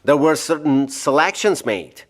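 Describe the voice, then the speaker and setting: An actor playing a measured, guarded doctor in an audio drama, careful and explanatory. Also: male voice, a little high, lively intonation.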